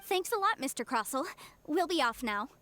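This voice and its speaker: A woman's voice: high-pitched, sing-song, normal speed, bright, forceful tone, a little hiss or hum, heavily acted. A woman playing a confident young female legal adviser in a video game, polite, articulate and businesslike. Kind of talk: video game character dialogue.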